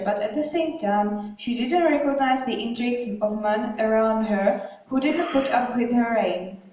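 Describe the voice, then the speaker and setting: A woman's voice: fairly high pitch, a little mellow. A woman narrating a puppet show and presenting it to a live audience. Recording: echoey hall, distant mic, faint noise.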